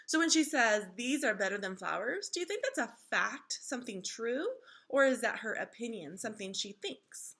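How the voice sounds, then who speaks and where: A woman reading a children's storybook aloud in an expressive, instructive way, like a teacher engaging young listeners. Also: on the high side, normal speed, husky.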